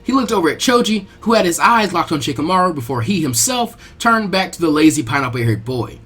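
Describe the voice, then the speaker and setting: A man reading fan fiction aloud as narrator, in an animated storytelling style. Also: on the high side, a little fast, slightly rough, soft background music.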